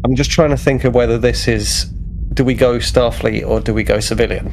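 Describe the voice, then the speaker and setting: Guy player in a tabletop game, creaky voice, casual chat, faint noise behind. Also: medium pitch, fairly even intonation, brisk, darkish tone.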